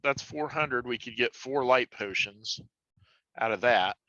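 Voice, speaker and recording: Male game player, bright forceful voice, casual, on dull lo-fi audio. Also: mid-range voice, measured pace, no echo. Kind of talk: casual group game chat. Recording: dull, dry lo-fi voice capture.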